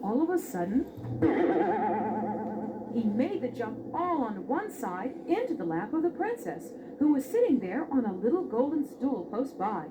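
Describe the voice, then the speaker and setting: A woman's voice: fairly high pitch, big pitch swings, normal speed. A woman narrating a scripted fairy tale expressively as a storyteller. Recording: dull, noisy old record.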